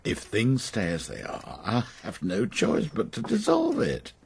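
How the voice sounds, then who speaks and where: A man's voice: fairly low pitch, huge pitch swings, measured pace, gravelly, slight background noise, exaggerated voice. An actor playing a stubborn, proud older English farmer and father in a naturalistic radio soap. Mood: bitter, stubborn. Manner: theatrical, sardonic, sometimes pleading.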